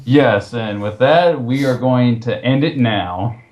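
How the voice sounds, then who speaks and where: A man's voice: medium pitch, slow, darkish tone. Male commentary host, mid-range voice, casual delivery with a sarcastic, disbelieving edge. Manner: sarcastic, incredulous. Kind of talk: casual commentary with read-out quotes.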